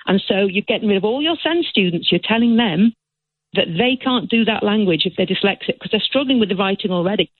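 A woman's voice: mid-range voice, animated, a little fast, dry recording. A woman caller speaking in an emphatic, passionate way. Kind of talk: phone-in call. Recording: tinny phone line.

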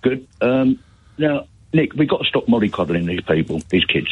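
An older man in his seventies calls in over the phone, his voice rough and husky. He talks bluntly, his pitch rising and falling a lot, and he circles back over his words as he pushes his view. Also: moderate pace, phone-quality sound. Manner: blunt, animated, repeats himself. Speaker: caller. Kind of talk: phone-in radio call. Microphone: telephone.

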